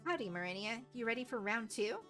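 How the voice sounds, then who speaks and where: A woman's voice: fairly high pitch, expressive, fast talker, sharp tone, soft background music. A woman voicing a confident, adventurous young woman on a date in a visual novel, breezy, teasing and coaxing.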